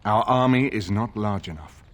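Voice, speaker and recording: An actor playing a grave older nobleman in a fantasy video game, speaking formally and with measured authority. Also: lowish voice, lightly acted. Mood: firm, grave. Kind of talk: video game dialogue acting.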